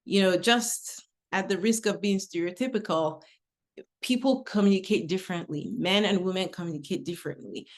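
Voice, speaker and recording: A woman's voice: mid-range voice, moderate pace. A middle-aged woman from Nigeria presenting a webinar talk in a conversational way, with personal stories. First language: English. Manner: conversational, uses personal anecdotes. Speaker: webinar speaker.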